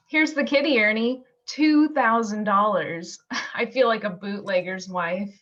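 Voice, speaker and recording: Woman playing sweet, unhurried young sweetheart. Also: mid-range voice, fairly bright tone. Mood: happy, pleading. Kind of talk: amateur radio play acting. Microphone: video call. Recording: muffled video call audio.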